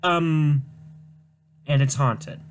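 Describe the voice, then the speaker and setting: A man reading a comic aloud, voicing a gruff Scottish demolitions man with a low burr. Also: steady tone, very slow, faint music behind.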